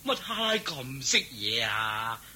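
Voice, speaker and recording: An actor playing an excitable, pious father and household master in a Hong Kong Cantonese radio drama, exclaiming and questioning. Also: medium pitch, slow pace, slight background noise, full character voice. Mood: amazed.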